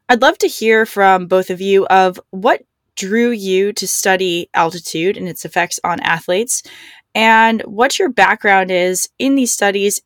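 A woman's voice: medium pitch, fairly bright tone, no room sound, clean studio sound. A woman hosting a podcast, giving a prepared introduction and putting questions to guests. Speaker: podcast host.

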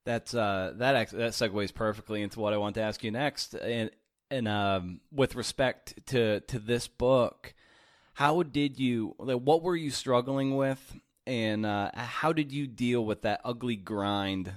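A male podcast host asking a long, halting question and stacking up images as he goes. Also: medium pitch, no echo, studio quality. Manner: rambling, halting questions built on extended images. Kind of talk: interview questions.

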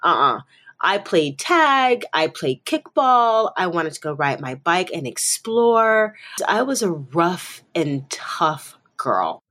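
Husky woman podcaster, lowish lively voice, chatty direct storytelling. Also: slow pace. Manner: direct, expressive, acts out quoted dialogue. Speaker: podcast co-host. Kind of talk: casual personal storytelling.

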